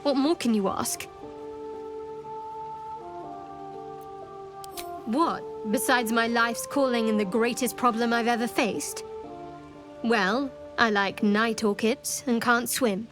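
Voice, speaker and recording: A woman playing a guarded, secretive young cleric in a video game, speaking in a reserved, measured, dryly wry way. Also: a little high, steady tone, over music, natural voice. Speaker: video game companion character. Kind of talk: dramatic game dialogue.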